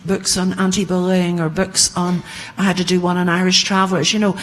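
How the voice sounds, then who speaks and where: A woman's voice: lowish voice, level tone, fairly quick, low-quality recording. A woman writer speaking informally as a panelist, in an anecdotal, conversational manner.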